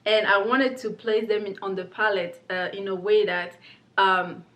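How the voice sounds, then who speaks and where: A woman's voice: mid-range voice, fairly even intonation, normal speed. A woman, chatting about her makeup palette in a casual, filler-heavy way, seated close to the camera indoors. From Congo. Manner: chatty and warm, many fillers like "like" and "um". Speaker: beauty brand owner presenting her palette. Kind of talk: casual explanatory talk to camera. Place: indoor studio with plain pink backdrop.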